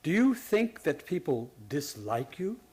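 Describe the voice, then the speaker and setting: An actor playing a probing, dismissive hospital psychiatrist in a radio play, with a clinical, insistent manner. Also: male voice, fairly high pitch, sing-song, unhurried, darkish tone, slightly rough, full character voice.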